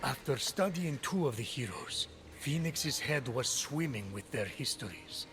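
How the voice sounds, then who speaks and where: A man playing a wise, formal immortal who narrates Greek myths in a measured, grave manner for a video game. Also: mid-range voice, lively intonation. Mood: grave, storytelling. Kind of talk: scripted narration. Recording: music behind the voice.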